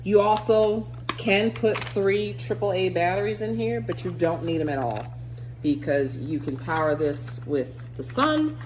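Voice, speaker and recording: A woman's voice: medium pitch, animated, measured pace, noisy background. A woman giving a casual, upbeat product demonstration in a chatty way. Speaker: product reviewer. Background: music.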